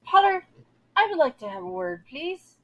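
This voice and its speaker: Woman as strict older female teacher, prim and halting, high voice that swoops about, audio drama. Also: big pitch swings, highs cut off. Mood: stern concern.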